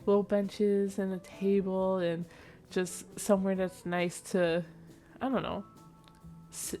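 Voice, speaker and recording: A woman's voice: mid-range voice, little pitch movement, slow pace, dark tone, faint music behind. A young woman narrating her own building video in a casual, rambling way, with a slightly nasal, sleepy voice. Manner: chatty, rambling, with frequent fillers and small laughs. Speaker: Sims builder and channel host. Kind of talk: casual voice-over commentary on a speed build.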